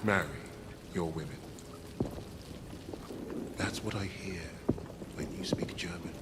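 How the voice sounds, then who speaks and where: An actor playing a proud, stoic British soldier held captive in a video game, restrained and quietly defiant with a British accent. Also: male voice, deep voice, takes it slowly. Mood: cold defiance. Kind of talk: cinematic video game acting. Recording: rain and heavy ambient noise.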